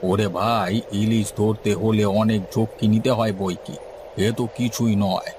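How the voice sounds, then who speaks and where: A man's voice: lowish voice, fairly even intonation, normal speed, natural voice. A man plays a seasoned river fisherman. He is boastful and folksy, instructing his junior like a know-it-all elder brother in a cartoon tale. Faint ambient noise sits behind him. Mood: seasoned, dismissive. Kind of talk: Bengali cartoon story dialogue.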